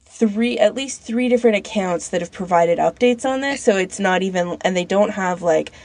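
A woman's voice: mid-range voice, moderate pace, darkish tone, dry recording. A woman co-host chatting casually on a podcast. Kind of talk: casual chat.

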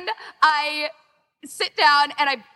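A woman's voice: high voice, fairly even intonation, takes it slowly, sharp tone. A woman chatting casually as an interview guest, rambling along and quoting imagined remarks as she explains how she organizes things. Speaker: interviewed co-founder and organizer of a fan audio exchange. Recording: long echoing reverb.

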